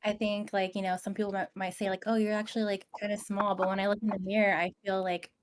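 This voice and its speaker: Reflective woman, mid-pitched, casual. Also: little pitch movement, fast talker. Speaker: podcast panelist.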